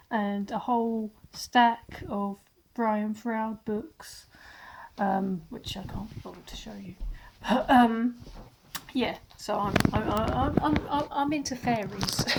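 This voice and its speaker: A woman's voice: fairly high pitch, noisy background. A woman reviewing a book in a casual chat to camera at home, speaking with a stuffy nose from a cold, rambling and restarting her words with frequent laughs.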